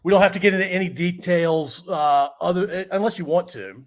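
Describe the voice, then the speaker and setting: A man's voice: high-pitched, fairly quick. A man from Central Virginia, a podcast host chatting casually and rambling a little as he sets up his questions. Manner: friendly, rambling with many uh fillers. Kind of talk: casual conversation.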